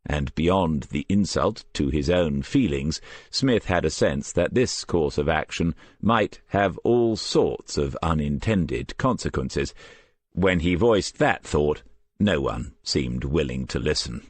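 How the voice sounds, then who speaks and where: Male narrator, low register, measured scripted storytelling for crime fiction, close dry recording. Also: lively intonation, husky, dry recording. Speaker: audiobook narrator.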